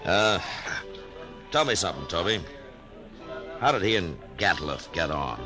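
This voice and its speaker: A man playing a steady, laconic frontier marshal in an old radio western, slow and hesitant with many pauses. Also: sits low, over music. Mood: probing. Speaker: narrator and lead character. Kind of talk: 1950s radio western acting.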